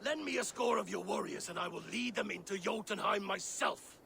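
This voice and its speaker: Hotheaded thor, super gravelly, impatient, high and edgy. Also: male voice, brisk, sharp tone, a little hiss or hum, exaggerated voice. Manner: impatient, blustering. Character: Thor, brash hot-headed male thunder god.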